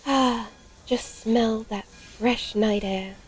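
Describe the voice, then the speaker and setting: A woman's voice: fairly high pitch, steady tone, drawn-out words, slight background noise, rough recording. A woman playing a bloodthirsty, grumbling female vampire in an audio horror-comedy, speaking dryly and theatrically in old-fashioned phrases. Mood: relieved, brisk.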